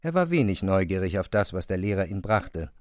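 A man narrating a literary radio drama in a measured, articulate and expressive way. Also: low-pitched, no room sound, studio quality.

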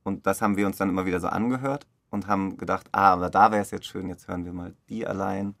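A man with a deep voice and a gravelly creak talks as a director in an interview. He explains with enthusiasm, feeling his way through loose sentences. The sound is close and dry. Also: fairly even intonation, fast talker, a bit bright, no room sound. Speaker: radio play director. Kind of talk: interview conversation.

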